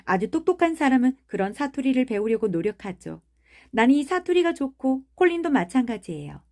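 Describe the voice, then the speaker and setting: Cold, curt little girl with a high voice, voiced by a woman reader; audiobook. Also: big pitch swings, fairly quick, a little mellow. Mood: blunt. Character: blunt, cold little girl who picks up dialect. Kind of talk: audiobook character reading.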